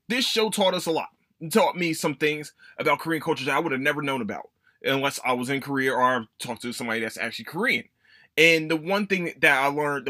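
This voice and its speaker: Young dude rambling on his podcast, cussing, bright voice, super dry close sound. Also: a little high, dry recording. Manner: rambling, profane, self-correcting. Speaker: podcast host. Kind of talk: casual solo podcast chat.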